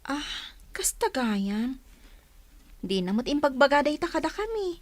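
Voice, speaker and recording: A chatty Ilocano big-sister figure, amused and gossipy in a radio drama. Her high voice moves animatedly up and down, recorded dry. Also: big pitch swings, a little slow, no echo. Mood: surprised, chatty.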